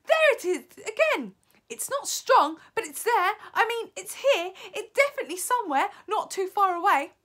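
Shrill, shrieking, excitable witch. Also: normal speed, full character voice. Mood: excited, insistent. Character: tall, shrieking, excitable witch sniffing out foul smells.

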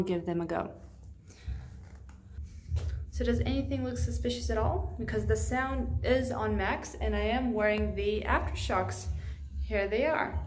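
Young woman vlogging over clear background noise. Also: medium pitch, a little mellow. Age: young adult. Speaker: fitness vlogger. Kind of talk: casual product review, talking to camera.

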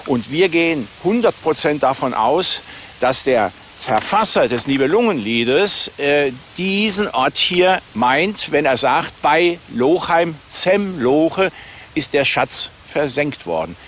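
A man with a fairly high voice speaks off the cuff as a researcher being interviewed for a documentary. Faint ambient noise sits behind him. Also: animated, slow pace, a bit bright. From Germany.

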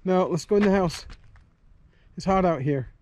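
Man, high mellow voice, coaxing, casual dog talk, outdoor vlog, some background noise. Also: moderate pace. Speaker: vlogger. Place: outdoors on a rural homestead.

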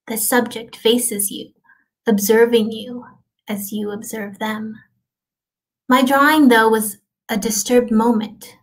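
A middle-aged woman performing a dramatic monologue in a soft, reflective way with deliberate pauses. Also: fairly high pitch. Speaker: actor performing a literary piece. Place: indoors, small plain room.

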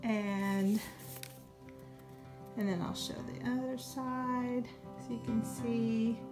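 A woman's voice: on the high side, steady tone, slow pace. A young woman, chatting casually with many ums while she shows how she cleans her kitchen. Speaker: home cleaning vlogger. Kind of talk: casual talk over a cleaning demo. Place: home kitchen, indoors. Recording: music playing under the voice.

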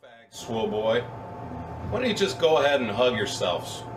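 A man's voice: medium pitch, big pitch swings, normal speed, far from the mic. American male officer, middle-aged, mock-serious host, off-mic in a noisy small room. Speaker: naval officer hosting a shipboard video. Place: small room aboard a ship. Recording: echoey, noisy amateur video from afar.

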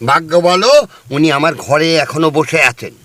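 Nervous busybody neighbour for radio play, male actor, high-pitched and fussy. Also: normal speed, background noise, close to an everyday voice. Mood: flustered. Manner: flustered, hesitant, fussy.

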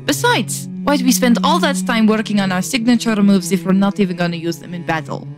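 A woman acts a cocky, teasing young rebel boat-builder in a fantasy drama. Her pitch is average for a woman, and music plays clearly behind her. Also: brisk, close to an everyday voice. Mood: teasing, persuading. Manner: brash, teasing. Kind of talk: dramatic fantasy fan-dub acting.

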